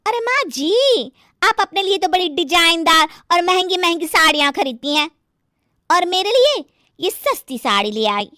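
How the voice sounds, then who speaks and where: A woman voicing a fussy, coy young village daughter-in-law in an animated story, with drawn-out, whiny delivery. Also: very high voice, no echo, clean studio sound, exaggerated voice. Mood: petulant, scornful. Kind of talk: Hindi animated moral story acting.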